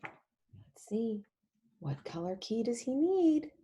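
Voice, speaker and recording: A woman leading an interactive storybook read-along for young children, animated and emphatic, using simple repeated phrases and questions. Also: a little high, takes it slowly. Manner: animated, emphatic, often drops to a whisper.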